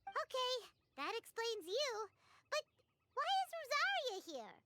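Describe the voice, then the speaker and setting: An extremely high, squeaky little fairy companion from an anime game. She is chirpy, curious and cheeky, swinging through her pitch in a childlike, exclamatory way. Loud noise sits behind the voice. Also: sing-song, a little slow, heavily acted. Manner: speaks of herself in third person, exclamatory. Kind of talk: anime video game voice acting.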